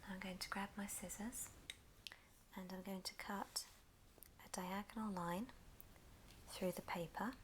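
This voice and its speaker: Woman, breathy ASMR whisper, slow gentle instruction, clearly noisy background with clicks. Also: medium pitch, steady tone. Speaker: ASMR craft host giving a tutorial. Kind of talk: whispered ASMR craft tutorial.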